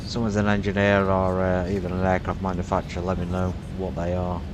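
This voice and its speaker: A man's voice: low voice, monotone, brisk, background noise. A man giving casual, musing commentary while streaming a flight simulator, with frequent fillers.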